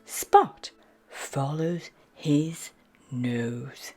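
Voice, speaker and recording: A woman reading a children's picture book aloud in a gentle, soft narration. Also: sits low, very slow, soft background music. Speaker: storyteller.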